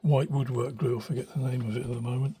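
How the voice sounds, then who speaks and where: An older man with a husky voice talks through a hobby demonstration. His delivery is unscripted and thoughtful, with hesitations as he works out what he wants to say. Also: medium pitch, little pitch movement, quick pace, warm tone. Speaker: hobbyist radio restorer. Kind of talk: informal spoken demonstration, unscripted. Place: small cluttered room indoors. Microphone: handheld phone behind the camera.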